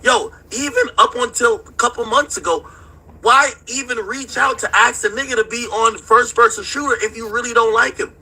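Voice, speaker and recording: A man's voice: very high-pitched, moderate pace, sharp tone, slightly rough, poor audio. A man in a casual, slangy, profane debate, insistent and pressing his points with rhetorical questions. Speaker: debater in a discussion about rap.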